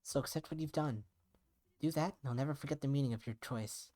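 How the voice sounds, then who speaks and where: A man's voice: medium pitch, fast talker, mellow, pitched above the performer's own voice, lightly acted. A man voicing an earnest young woman in a visual novel, blunt but caring, questioning frankly and insistently. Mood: frank, understanding.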